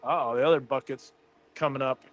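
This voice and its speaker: A man's voice: medium pitch, fairly even intonation, brisk, fairly bright tone. An American man hosting a casual livestream with enthusiastic, conversational live commentary. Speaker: livestream host.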